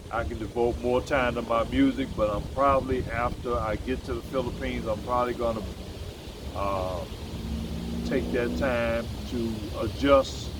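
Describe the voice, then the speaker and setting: A man's voice: medium pitch, background noise. A man in his sixties from the United States, talking alone in a casual vlog about his own life, rambling and correcting himself, with blunt, emphatic asides. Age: 60s. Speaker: vlogger and musician. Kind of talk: casual solo vlog monologue.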